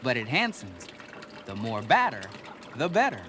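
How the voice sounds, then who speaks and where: A man's voice: mid-range voice, wildly swooping pitch, moderate pace, noisy background. A man narrating a children's television segment in a scripted, playful style, as the show's narrator.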